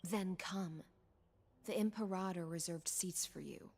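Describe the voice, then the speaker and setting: An actress playing a loyal, formal female knight commander in a video game, speaking in a measured, courtly way. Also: lowish voice, gravelly, darkish tone. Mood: composed. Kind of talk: scripted video game character dialogue.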